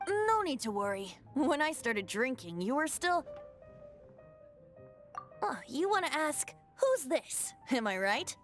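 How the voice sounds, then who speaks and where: A woman's voice: sits high, sing-song, slow, exaggerated voice. A woman voicing a playful, teasing boy bard in a video game, breezy and theatrical. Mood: breezy, cheeky. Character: playful, cheeky boyish bard, secretly an ancient spirit. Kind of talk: video game cutscene acting. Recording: game music behind the voice. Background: background music.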